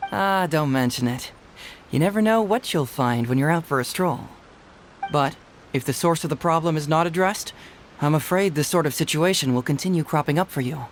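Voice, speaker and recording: An actor playing a young male detective in a video game, quick-witted, easygoing and teasing. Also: fairly high pitch, a little hiss or hum, close to an everyday voice. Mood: easygoing, playful. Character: young male detective, sharp and playfully confident. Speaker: detective character.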